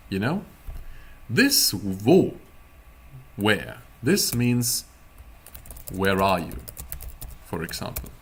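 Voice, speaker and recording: A man giving a casual live-streamed language lesson as a teacher, explaining word by word in a halting, deliberate way. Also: fairly low pitch, a little hiss or hum. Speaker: German teacher.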